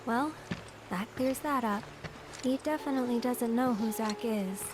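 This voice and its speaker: An actress as a gentle young woman from a video game, with a fairly high voice. She is wistful and earnest, with a light teasing edge and a soft, hesitant delivery. Music plays clearly behind her. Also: a little slow, lightly acted. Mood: subdued, dry.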